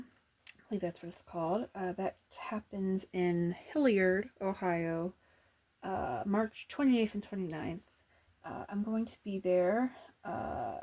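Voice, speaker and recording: A soft-spoken woman doing casual ASMR chat as an artist at work, talking in an unhurried, musing way. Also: mid-range voice. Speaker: illustrator and comic artist narrating a drawing video.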